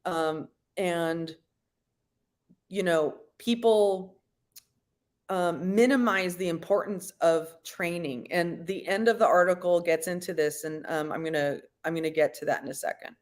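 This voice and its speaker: A woman hosting a livestream, giving commentary to viewers in a halting, deliberate, explanatory way. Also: fairly low pitch. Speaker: livestream host.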